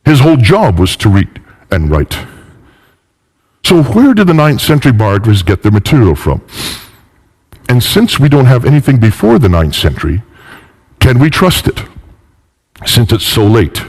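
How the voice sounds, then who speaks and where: A man's voice: medium pitch, sing-song, normal speed, pauses often, darkish tone, husky. A middle-aged man giving a polemical history lecture, emphatic, with rhetorical questions and repeated phrases.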